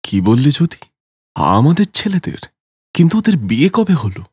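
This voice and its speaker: A man's voice: on the high side, very animated, normal speed, a little mellow, breathy edge, no echo, studio quality. A man voicing a newly retired, forgetful grandfather in a cartoon story, bewildered and coaxing. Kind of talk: cartoon story character acting.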